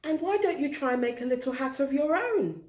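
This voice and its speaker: A woman's voice: high voice, expressive, fairly quick, darkish tone. A woman storyteller reading expressively to young children, recorded in a roomy space. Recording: roomy echo.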